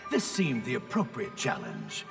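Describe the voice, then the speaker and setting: An actor playing a towering horned demonic game master, a pompous, theatrical and menacing video game villain. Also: male voice, mid-range voice, wildly swooping pitch, vocal fry, full character voice. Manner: pompous, theatrical, drawn-out delivery. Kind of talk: video game cutscene acting. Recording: long reverb, distant, loud music behind.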